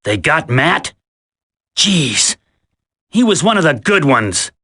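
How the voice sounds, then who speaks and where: A cocky, loyal commando from a video game. The voice is forceful and bright and jumps around in pitch. He is brash and prone to grumbling. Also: big pitch swings, slow, slightly rough, heavily acted. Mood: downcast. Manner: brash, colloquial. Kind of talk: dramatic video game voice acting.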